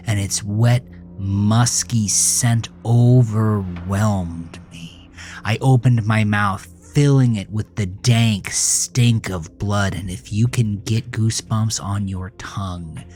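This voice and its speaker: A man playing a troubled teenage boy who narrates his own horror story in a brooding, confessional way, often dropping to a hushed murmur. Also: fairly low pitch. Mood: entranced, hungry. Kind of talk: dramatic first-person horror audio narration. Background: music.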